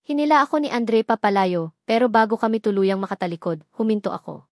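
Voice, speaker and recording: A woman's voice: a little high, fast talker, dry recording, clean studio sound. A woman reading a scripted first-person romance story as narrator, in a dramatic storytelling manner.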